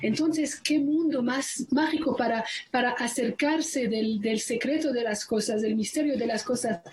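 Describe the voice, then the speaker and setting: A woman author whose first language is Persian answers interview questions in a halting way, often searching for words. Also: fairly high pitch, darkish tone, breathy edge.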